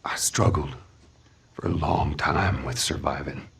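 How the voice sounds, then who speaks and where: An actor playing a weary, rugged middle-aged survivor in a video game, gravelly and halting, speaking quietly and earnestly. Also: male voice, extremely low pitch, fairly even intonation, exaggerated voice. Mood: somber, sincere. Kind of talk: naturalistic dramatic game acting.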